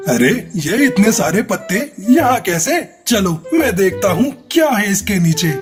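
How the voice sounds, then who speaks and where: Woman voicing a greedy, foolish cartoon hawk, swooping pitch. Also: sing-song, measured pace, over music. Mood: curious, pleased. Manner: gloating, boastful. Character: greedy, foolish, menacing egg-eating hawk. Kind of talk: Hindi cartoon story character acting.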